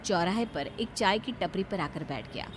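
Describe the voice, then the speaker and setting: A woman's voice: on the low side, expressive, moderate pace, background noise. A woman giving scripted narration of a story.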